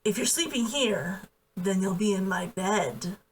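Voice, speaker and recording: A woman's voice: mid-range voice, lively intonation, unhurried, lightly acted. A woman reading the lines of a guarded, protective man in a novel, with a restrained, questioning manner. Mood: restrained concern. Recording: distant from the mic.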